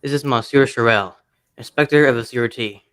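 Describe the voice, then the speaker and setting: An actor playing a petulant, jealous young English aristocrat, curt and sulky, in a radio drama. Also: male voice, medium pitch, a little fast, a bit bright, smooth, no echo, lightly acted. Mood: polite, hurried.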